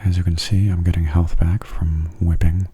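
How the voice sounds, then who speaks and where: A man giving soft-spoken ASMR video game commentary in a breathy, hushed, often half-whispered voice, gentle and understated. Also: deep voice, little pitch movement, moderate pace.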